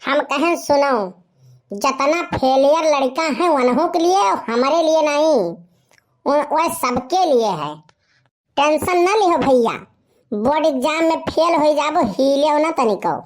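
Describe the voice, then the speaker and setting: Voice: high-pitched, unhurried. A performer voicing a boastful young village slacker in a comic cartoon story, with a rustic eastern Uttar Pradesh Awadhi accent. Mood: cheerfully scheming.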